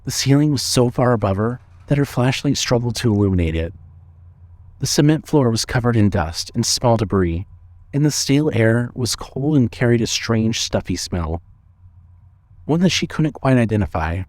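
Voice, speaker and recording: A man narrating a scripted story with measured, suspenseful storytelling. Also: medium pitch, animated, darkish tone, no echo.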